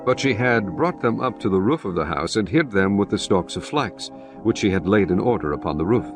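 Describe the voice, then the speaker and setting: A man narrating scripture in a solemn, measured way. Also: lowish voice, animated, music in the background.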